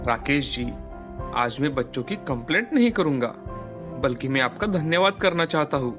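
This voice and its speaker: A man's voice: a little high, animated, normal speed, over music, lightly acted. A man playing a polite, impressed school principal in a children's story. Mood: grateful, impressed.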